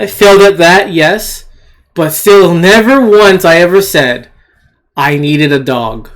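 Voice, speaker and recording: Male commentator, voice on the higher side, unscripted and argumentative, repeats himself for emphasis. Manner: argumentative, scornful. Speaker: commentator hosting an opinion video. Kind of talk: unscripted opinion rant.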